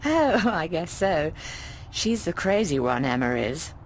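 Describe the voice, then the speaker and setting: A breezy, bawdy landlady from an adventure game. Her voice sits a little low and swings freely up and down, teasing and affectionate with everyone. Some background noise sits faintly under the recording. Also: unhurried. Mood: chatty, wry. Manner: expressive, teasing, calls people darling. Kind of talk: conversational video game voice acting.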